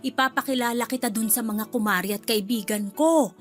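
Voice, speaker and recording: Fussy nosy mother-in-law, woman acting it, radio drama, kinda high voice, soft music behind. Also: brisk, close to an everyday voice. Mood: needling. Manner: chatty, fussing, needling under politeness. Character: meddling middle-aged mother-in-law, sweetly critical.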